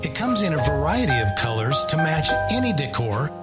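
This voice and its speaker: A man's voice: on the high side, very animated, normal speed. A man hosting a show, reading scripted promotional narration. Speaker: show host reading a sponsor spot. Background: loud music.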